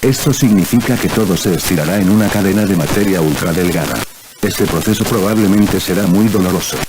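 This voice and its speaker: A man's voice: sits low, a little fast. A man reading a scripted emergency broadcast announcement in a formal, impersonal way. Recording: clear background noise.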